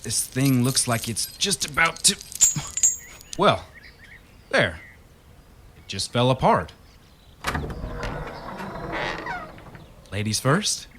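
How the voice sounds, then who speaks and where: A man's voice: medium pitch, very animated, slow, pauses often. A man playing an easygoing, sceptical husband in a radio horror play, breezy and dismissive of spooky talk. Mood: curious, playful. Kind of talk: radio horror drama acting. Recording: reverberant, noisy radio drama recording.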